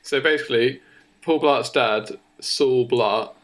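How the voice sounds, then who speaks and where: A man's voice: mid-range voice, slow pace, a bit bright. A man co-hosting a podcast in casual, improvised comedy chat. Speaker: podcast co-host.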